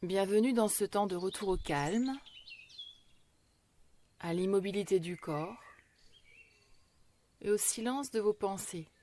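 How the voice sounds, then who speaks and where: A woman guiding a yoga relaxation meditation in a slow, measured, gentle and soothing way, with long pauses. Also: mid-range voice, slight background noise. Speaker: yoga nidra meditation guide.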